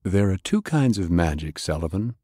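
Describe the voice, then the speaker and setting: A man's voice: low voice, sing-song, moderate pace, dark tone, husky, dry recording, clean studio sound, exaggerated voice. A man voicing an earnest male fighter from a secret magic society, explaining emphatically in an audiobook. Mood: earnest, warning.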